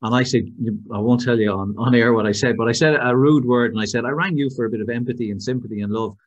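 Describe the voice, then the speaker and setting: Husky Irish man from Waterford. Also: medium pitch, fairly even intonation, fast talker, dull audio. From Ireland, Waterford. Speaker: podcast host. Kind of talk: podcast interview.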